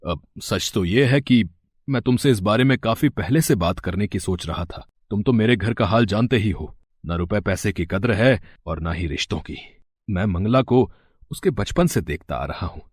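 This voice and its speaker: A man playing a kind, wealthy middle-aged village landlord, measured, earnest and reassuring, in a moral story drama. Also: fairly low pitch, lively intonation, slightly rough, dry recording, clean studio sound.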